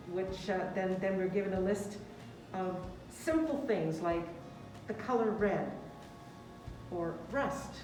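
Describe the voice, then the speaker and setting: Friendly plain-spoken woman, mid voice, far from the mic. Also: mid-range voice. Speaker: photo club president. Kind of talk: casual interview. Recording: echoey room, far from mic, with music.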